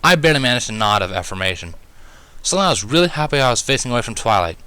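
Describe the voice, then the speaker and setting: Casting: male fan fiction narrator, very lively intonation, bright forceful tone. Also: mid-range voice, racing, clear, resonant tone, no room sound. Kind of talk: expressive story narration.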